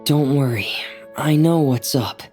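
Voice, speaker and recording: An actor voicing a gentle, earnest young boy diver in a video game, polite, subdued and a little hesitant. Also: mid-range voice, a little slow, close to an everyday voice. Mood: worried. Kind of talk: video game character dialogue. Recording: faint music behind the voice.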